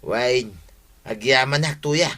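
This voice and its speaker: A man's voice: medium pitch, slow, lightly acted. A man with an Ilocano accent acting as an apologetic, hard-drinking village man in a radio comedy drama. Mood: relieved.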